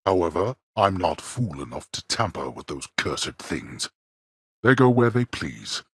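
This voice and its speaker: A man playing a stern, imperious male lord of the underworld in a video game, speaking in a cold, disdainful, formal manner with a very husky, gravelly voice. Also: low-pitched, big pitch swings, a little slow, no room sound, studio quality, full character voice. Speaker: voice actor.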